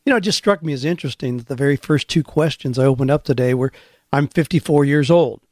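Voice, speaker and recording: A man's voice: medium pitch, quick pace, a little mellow, no echo, clean studio sound. A man hosting a career-advice radio show, talking in an earnest, encouraging and conversational way. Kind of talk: podcast radio monologue.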